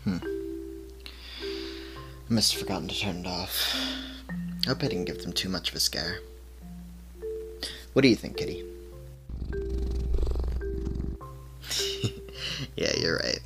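A man playing a fussy, whimsical podcaster who mutters softly and playfully to himself and his cat in an audio roleplay. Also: low voice, expressive, slow, gravelly, pauses often, music in the background, heavily acted. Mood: playful, sheepish. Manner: soft-spoken, playful muttering to himself and a pet.

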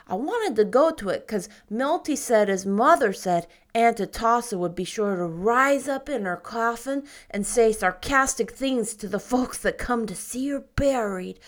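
A woman's voice: a little high, natural voice. A woman voicing a gloomy ten-year-old boy who talks in a sulky, plainspoken way. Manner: sulky, plainspoken, stumbles over big words.

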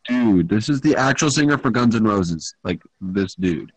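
A man's voice: lowish voice, animated, normal speed, husky, no echo, poor audio. Blunt, teasing teen guy with an irish accent. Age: teens. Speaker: podcast host. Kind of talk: casual chat. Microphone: home microphone.

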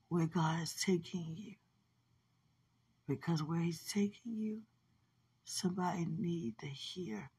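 A husky woman minister delivering a slow prophetic word to a singer. She drifts between firm, raised exhortation and soft whispers, sounding drowsy as she speaks. Her delivery is unhurried and deliberate. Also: on the low side. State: drowsy, dozing off.

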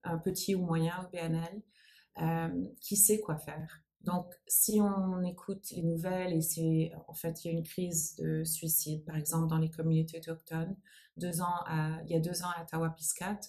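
A woman's voice: sits low, level tone, normal speed, warm tone. Anglophone woman speaking French thoughtfully. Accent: English-speaking accent in French. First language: English. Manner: thoughtful, searching for words, mixing in English. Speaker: guest, nonprofit founder. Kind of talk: interview conversation.

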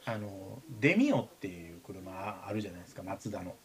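A man's voice: sits low, animated, fairly quick. A man with a Kansai accent chatting casually and telling anecdotes, acting out the exchanges he quotes. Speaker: radio show talker, writer.

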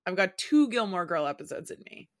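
A woman in her thirties co-hosting a podcast in casual chat from home, chatty and expressive, often laughing. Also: lowish voice, fairly quick. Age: 30s. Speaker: podcast co-host.

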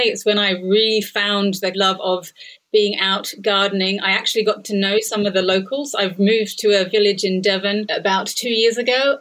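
An Australian woman speaks as a guest on a casual podcast. She talks earnestly, sometimes stopping to restart a thought, with a voice of middling pitch. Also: fairly bright tone, poor audio. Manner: earnest, slightly halting with restarts. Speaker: guest, adventurer.

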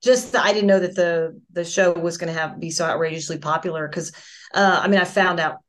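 A woman's voice: fairly low pitch, fairly quick. A middle-aged woman, a voice actress and singer, chatting as a guest in a casual interview over a video call, telling stories with many restarts.

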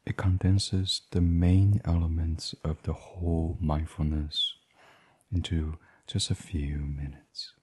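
A man gently and soothingly reading a guided sleep meditation in a breathy, husky voice, as the host. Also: a bass voice, level tone, slow.

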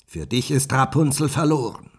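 A man voicing a wicked old witch in a fairy tale, shrill and scolding. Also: lively intonation, normal speed, slightly rough. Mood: mocking.

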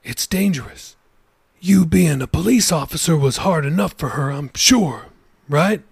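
A male narrator reads an older pastor with a raised, gravelly, husky voice. He counsels a couple in a gentle, measured way, like a kindly elder in a storybook reading, recorded dry and close. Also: on the high side, animated, dry recording, voice pushed up, heavily acted. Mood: counseling.

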